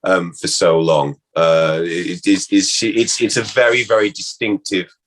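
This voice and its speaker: A man, an actor being interviewed, talking casually and reflectively, haltingly repeating words as he thinks aloud. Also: sits low, fairly even intonation.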